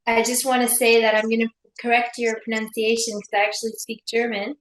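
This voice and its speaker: Chatty woman cohost, kinda high voice, lots of laughs, echoey room. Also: level tone, brisk. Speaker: podcast co-host. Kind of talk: casual chat over wine.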